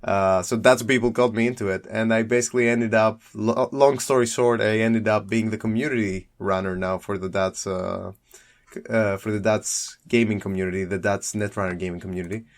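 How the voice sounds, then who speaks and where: A man's voice: fairly low pitch, fairly even intonation, a little fast. A young man from Greece, chatting as a guest about his card game deck, rambling and correcting himself with frequent laughs.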